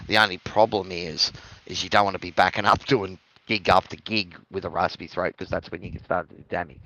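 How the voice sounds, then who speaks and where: A man co-commentating a sim race in a relaxed, friendly way, giving drivers encouragement. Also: sits low, fairly quick, lo-fi sound. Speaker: co-commentator and streamer. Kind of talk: casual commentary banter.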